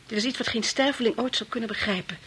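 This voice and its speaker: An actress playing a proud, composed married mother in a Dutch radio play, earnest and measured in manner. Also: fairly high pitch, fairly bright tone, husky. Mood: earnest, pained. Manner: earnest, measured, shuns self-pity. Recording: faintly noisy old radio play recording.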